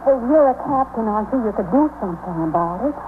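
A woman's voice: a little high, animated, fast, natural voice. An indignant young working woman played by an actress in 1950s radio drama style. She complains bluntly and impatiently. The sound is thin and narrow, with faint hiss from an old broadcast. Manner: blunt, complaining, plain-spoken.

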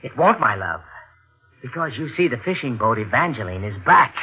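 An actor playing a bitter, sardonic husband in a 1940s radio thriller, accusing and mock-endearing on an old broadcast recording. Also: male voice, mid-range voice, sing-song, normal speed, husky. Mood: bitter, taunting. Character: bitter, menacing, sardonic husband suspecting his wife of murder. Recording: old radio broadcast, thin phone-like sound, faint music.

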